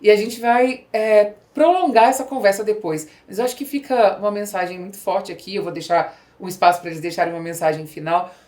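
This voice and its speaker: A woman's voice: mid-range voice, fairly quick, few pauses, a little mellow. A Brazilian woman speaking conversationally as the host of a podcast interview. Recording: roomy, echoey sound.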